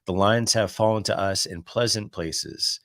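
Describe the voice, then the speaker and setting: A man who is under the weather with a cold, reading a daily devotional aloud. He pauses between passages to share relaxed, conversational thoughts. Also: fairly low pitch, no room sound. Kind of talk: devotional reading with casual commentary.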